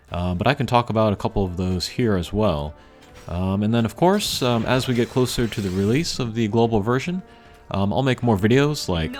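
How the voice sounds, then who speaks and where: A man giving casual, unscripted gameplay commentary in a rambling, low-key way with frequent hesitant pauses. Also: a little mellow. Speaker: game video creator. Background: video game music.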